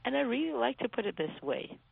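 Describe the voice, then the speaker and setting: A woman's voice: a little high, big pitch swings, fast, creaky, breathy edge, no room sound, phone-quality sound. Woman guest calling in, frank and anecdotal, phone-thin sound. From Africa. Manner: frank, anecdotal, stammers at starts. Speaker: panel guest. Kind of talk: casual opinion on a panel.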